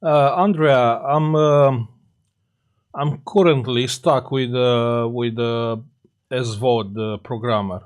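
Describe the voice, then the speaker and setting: A man from Romania with a Romanian accent, speaking English as a second language and hosting a casual live stream. He pauses often on fillers while greeting viewers and answering their comments. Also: mid-range voice, steady tone.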